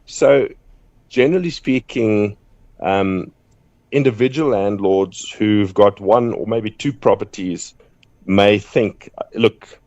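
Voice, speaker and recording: A man with a croaky voice from the flu, explaining property costs in a measured way as a radio guest on the phone. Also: on the low side, a little mellow, dry recording. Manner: measured, explanatory, repeats words when starting a point. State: hoarse from flu. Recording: muffled phone line.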